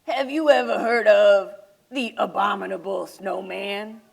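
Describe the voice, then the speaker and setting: Slow, gravelly yeti played by a woman for a children's puppet show: misunderstood, gentle, friendly loner. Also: mid-range voice, steady tone, rough recording. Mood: gentle, wistful. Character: misunderstood, lonely, gentle male yeti living atop mountain.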